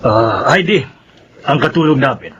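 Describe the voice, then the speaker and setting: An actor playing a considerate, middle-aged father and household employer in a Filipino radio drama, courteous and deliberate, with a Filipino accent. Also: medium pitch, expressive, fairly bright tone, highs cut off, a little hiss or hum.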